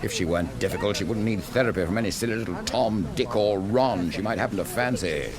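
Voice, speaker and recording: An actor in a radio drama playing a slangy, mocking bully who makes cool threats to a rival. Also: male voice, on the low side, quick pace, a crowd in the background, lightly acted. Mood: mocking menace. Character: menacing, slangy upper-class man bullying a rival.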